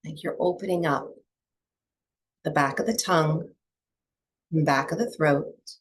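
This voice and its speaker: A woman teaching breathwork and meditation to a small group, speaking softly and soothingly with long pauses between phrases. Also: low-pitched, husky. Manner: soft, unhurried, soothing delivery with long pauses.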